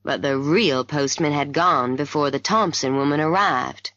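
A woman reads the part of a kindly male doctor in an audiobook mystery. He speaks low and unhurried, calm, frank and reassuring. The sound is a little dull. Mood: grave, gentle.

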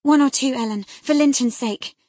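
A woman reading aloud, voicing a spoiled young girl who pleads and begs in a high, breathless voice. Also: moderate pace, darkish tone, dry recording, studio quality. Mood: sobbing.

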